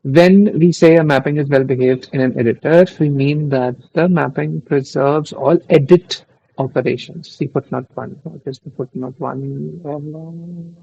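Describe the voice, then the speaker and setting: A man's voice: on the high side, unhurried, creaky, mellow, slightly rough, dry recording, highs cut off. A young man who is not a native English speaker, reading an article aloud on a live stream and musing between lines in a rambling, easily distracted way. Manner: rambling, self-interrupting, distracted asides. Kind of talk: thinking aloud while reading.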